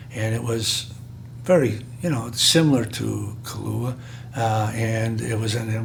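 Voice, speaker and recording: An older man, a bit hoarse, recalling his career in a rambling way with many halting pauses. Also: on the low side, slight background noise. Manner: rambling, frequent filler pauses. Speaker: interviewee. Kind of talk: oral history recollection.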